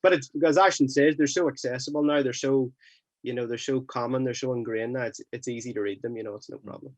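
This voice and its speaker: A filmmaker muses aloud at a festival Q&A, stammering as he starts his thoughts. His voice sits mid pitch. The sound is dry and close. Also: fairly even intonation, fast talker, dry recording, muffled recording. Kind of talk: festival Q&A answer.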